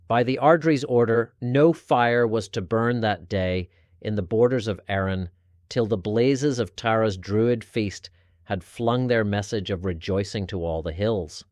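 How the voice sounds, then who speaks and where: Male narrator, lower-pitched, formal and measured delivery of old-fashioned historical prose, very dry close sound. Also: a little mellow, dry recording. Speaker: narrator reading an article aloud. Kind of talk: scripted narration of an old religious history article.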